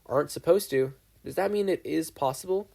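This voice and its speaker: A man voicing a serious, scholarly older man in a read-aloud story, firm and measured. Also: on the high side, creaky, mellow, voice pushed up. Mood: probing.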